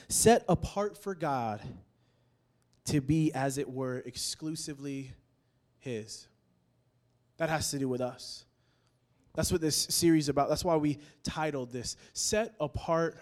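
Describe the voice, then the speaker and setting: A young man preaching a casual, animated sermon, joking with his listeners as he explains a word from the Bible. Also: on the high side. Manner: conversational and animated, jokes with the crowd.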